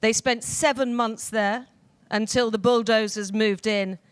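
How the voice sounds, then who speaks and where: A woman's voice: fairly high pitch, steady tone, a little slow, smooth, no room sound. A woman moderating a live panel discussion on stage, speaking directly into a microphone as she introduces and questions guests. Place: theatre stage, live audience event.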